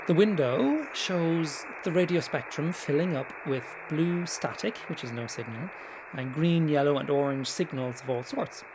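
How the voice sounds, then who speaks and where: A man's voice: fairly high pitch, unhurried. A man presenting a casual, conversational screen-share tutorial in an informal way, with small hesitant asides. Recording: clear background noise.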